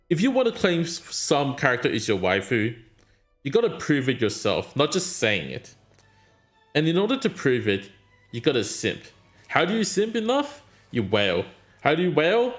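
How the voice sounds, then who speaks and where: A man streaming a mobile game, chatting casually and answering his chat in a rambling way. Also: mid-range voice. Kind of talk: casual live-stream chat while gaming.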